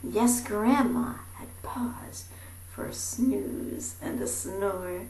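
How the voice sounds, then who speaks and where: A woman reading a rhyming picture book aloud in a theatrical, animated way, moving between hushed and projected delivery. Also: medium pitch, very slow. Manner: theatrical, dropping into breathy whispers and calling out. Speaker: amateur storybook reader. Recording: roomy echo with faint background noise.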